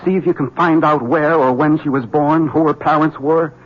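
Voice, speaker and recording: Brief: actor as a desperate husky man, tense and urgent, slightly high voice, dull old radio sound. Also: steady tone, normal speed, a little hiss or hum, close to an everyday voice. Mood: haunted, urgent. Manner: tense, urgent, bursts of agitation. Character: haunted, desperate ex-husband warning a rival. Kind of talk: 1970s radio drama acting. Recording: dull 1970s radio broadcast.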